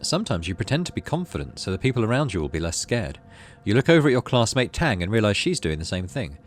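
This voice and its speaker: A man's voice: fairly low pitch, very animated, fast. A man hosting a gaming video, reading story text aloud expressively and adding casual, musing commentary.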